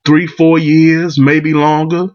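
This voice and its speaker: A man's voice: fairly high pitch, level tone, takes it slowly, dull audio. A man with a cold, rambling bluntly through his opinions in a casual monologue. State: catching a cold. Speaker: vlogger giving battle rap opinions.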